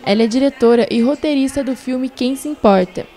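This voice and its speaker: A woman reading a scripted news voice-over in an even, formal way. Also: high voice, fairly quick. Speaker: news reporter.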